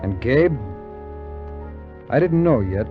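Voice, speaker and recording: A man's voice: medium pitch, animated, takes it slowly. An actor playing a cynical, world-weary adventurer who narrates his own tale in a 1950s radio drama, with a wry, dramatic delivery. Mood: brooding. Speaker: narrator and lead character. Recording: muffled vintage 1950s radio recording, music behind.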